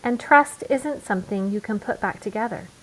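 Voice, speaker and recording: A woman voicing a gentle, hurt mother bear in a storybook, speaking earnestly and with emphasis. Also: mid-range voice, normal speed, lightly acted, poor audio. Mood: sad, earnest.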